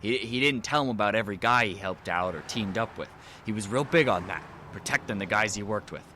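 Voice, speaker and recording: A man's voice: on the low side, brisk, sharp tone, slight background noise. An actor playing a down-on-his-luck, menacing convict's son in an audio drama, with a streetwise, casual, insinuating manner. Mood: defensive, insistent.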